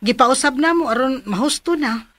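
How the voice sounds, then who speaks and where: Excitable high-voiced young Cebuano bride-to-be. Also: female voice, moderate pace. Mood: reassuring. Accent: Filipino, Cebuano. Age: young adult. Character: diligent, excitable young bride fixing wedding papers. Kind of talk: radio drama acting.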